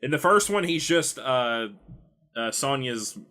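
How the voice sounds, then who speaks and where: A man's voice: mid-range voice, animated, unhurried, a loud crowd. A man hosting a casual podcast chat, often chuckling as he talks. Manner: chuckles often while talking. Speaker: podcast host.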